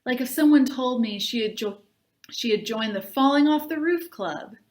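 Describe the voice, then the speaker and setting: A woman, a librarian, reading a children's book aloud and chatting to viewers in an expressive, upbeat way. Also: a little high, normal speed.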